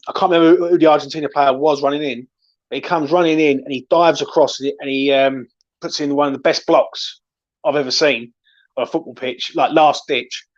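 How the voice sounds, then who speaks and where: A man's voice: fairly high pitch, lo-fi sound. A man hosting casual football chat, emphatic and opinionated, repeating himself to stress his points. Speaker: host of a football discussion show.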